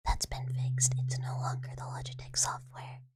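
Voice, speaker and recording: A woman ASMR streamer softly whispering and rambling while she chats with her live audience about her microphone setup. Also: extremely low pitch, monotone, moderate pace. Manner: soft whispering, rambling with many fillers. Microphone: USB desk mic.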